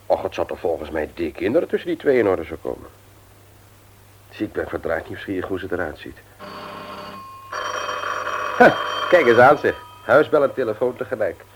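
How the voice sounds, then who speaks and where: Radio play role: friendly husband, lowish voice, animated intonation, colloquial, noisy vintage recording. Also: male voice, normal speed, pauses often, lo-fi sound. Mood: relaxed, curious. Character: genial, curious married man, concerned friend. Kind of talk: radio drama dialogue.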